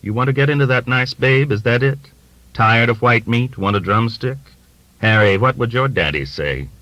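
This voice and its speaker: A man's voice: mid-range voice, pushed and bright, dry recording, pitched above the performer's own voice, natural voice, poor audio. A man voicing a plump, middle-aged hustler in an audiobook, jovial and teasing, with a folksy, cajoling way of talking. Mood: sly, teasing. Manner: folksy, ingratiating, drawn-out cajoling.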